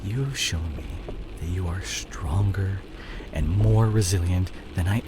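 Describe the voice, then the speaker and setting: A man's voice: sits low, takes it slowly, heavy background noise. A man playing a fallen, evasive CEO boyfriend in an intimate, dramatic roleplay audio drama. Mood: tender, confessing. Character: cheating fallen CEO boyfriend, smooth-talking, evasive, image-obsessed.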